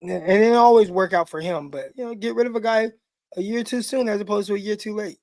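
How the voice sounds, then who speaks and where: A man's voice: high voice, a little mellow, no echo. A man co-hosting a live football podcast, giving opinionated and enthusiastic takes in a loose conversational way. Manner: enthusiastic, opinionated, quick asides. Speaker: co-host, former pro football player. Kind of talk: live podcast draft talk.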